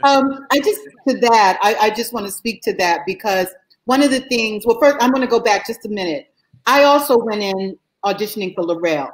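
A middle-aged woman telling a backstage story in casual chat on a video call, animated and laughing as she goes. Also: medium pitch, fairly quick, poor audio. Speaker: guest performer.

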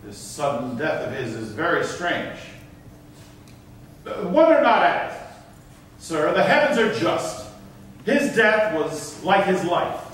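An actor playing a stern, commanding governor in a classical stage tragedy, declaiming with authority. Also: male voice, fairly high pitch, big pitch swings, takes it slowly, long pauses, a bit bright, distant mic, full character voice. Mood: grave. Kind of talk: classical verse stage acting. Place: large indoor auditorium stage. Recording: very echoey, noisy theatre from afar.

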